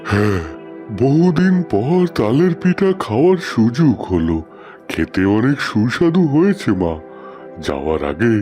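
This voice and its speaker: A man's voice: mid-range voice, mellow. An actor playing a kindly, solemn wandering holy man who gives blessings, in a cartoon fairy tale.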